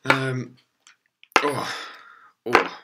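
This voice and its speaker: A young man, hoarse from losing his voice, rambling casually through a live stream with lots of ums and swearing. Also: mid-range voice, drawn-out words, very noisy. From England.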